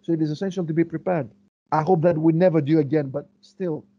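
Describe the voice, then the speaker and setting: A man's voice: on the high side, fairly even intonation, quick pace, highs cut off. A man from Greece, a historian answering interview questions thoughtfully, with many fillers and restarts as he searches for words. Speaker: guest historian.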